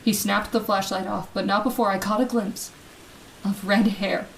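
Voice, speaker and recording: A woman's voice: mid-range voice, steady tone, close to an everyday voice. Actress as frightened woman captive, noisy radio horror. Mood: hushed dread. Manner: breathy dramatic suspense, hushed and halting when afraid. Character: frightened young woman held captive by a killer, recounting it. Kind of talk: old-time radio horror drama, first-person narration and dialogue.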